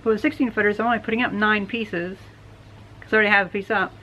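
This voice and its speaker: A woman's voice: medium pitch, fairly even intonation, quick pace, bright, forceful tone, slight background noise, rough recording. A young woman recovering from a cold, rambling and correcting herself as she explains her building plans to the camera outdoors under a roof frame. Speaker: DIY builder vlogger. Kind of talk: casual talk to camera. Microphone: camera at close range.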